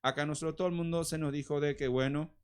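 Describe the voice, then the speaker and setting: A man's voice: on the high side, fairly even intonation, fast, clean tone. A man with a Paraguayan Spanish accent, talking as a guest on a talk show, emphatic and repetitive, slipping into Guarani phrases. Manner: emphatic, repeats words, mixes in Guarani. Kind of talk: casual talk show conversation.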